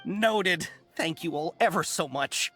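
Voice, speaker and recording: A woman's voice: lowish voice, animated, takes it slowly, a bit bright, faint music behind. An actress playing a grateful female merchant who is effusive and eager to give things away. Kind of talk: video game voice acting.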